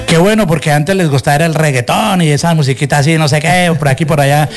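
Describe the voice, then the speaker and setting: A man's voice: fairly high pitch, quick pace, soft background music. A man hosting a radio interview, chatty and teasing, asking leading questions. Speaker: radio host.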